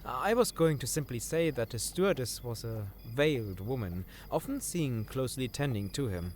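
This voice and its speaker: A man's voice: medium pitch, expressive, moderate pace, a little hiss or hum, close to an everyday voice. A man playing a polite, earnest young scholar in a fantasy audio drama, speaking formally and a little hesitantly. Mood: earnest, informative.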